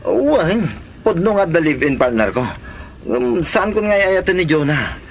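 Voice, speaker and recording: A man playing an excitable, defensive fellow in an Ilocano comedy drama. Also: on the high side, measured pace, dull audio, slight background noise. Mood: defensive, flustered.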